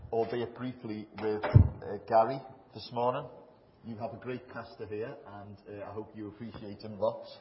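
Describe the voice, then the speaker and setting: A man's voice: medium pitch, a little hiss or hum. A middle-aged man with a Liverpool accent, giving a chatty, self-deprecating talk to a church congregation. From England. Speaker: guest speaker. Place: church building. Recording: echoey hall, slightly dull sound.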